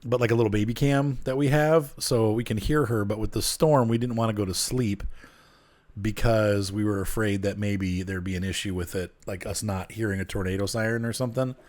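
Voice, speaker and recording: Congested, nasal man chatting low. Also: steady tone, a little fast, a little mellow. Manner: chatty, muttering to himself while working. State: a little congested. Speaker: streamer playing a train game. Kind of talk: casual gameplay chat. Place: at home. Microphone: desk microphone turned up.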